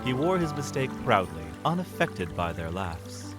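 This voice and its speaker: A man's voice: mid-range voice, big pitch swings, normal speed, loud music behind the voice. A man narrating an audio drama in a dramatic, scripted storytelling style.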